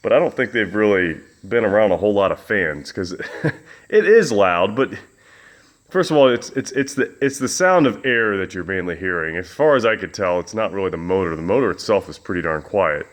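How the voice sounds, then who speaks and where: A man in his home basement gym talks through a fan review in a loud, easygoing voice that sits a bit low. He rambles, restarts sentences and fills gaps with ums and uhs. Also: expressive, normal speed. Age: adult with children. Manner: rambling, folksy, many fillers and restarts. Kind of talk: casual product review vlog.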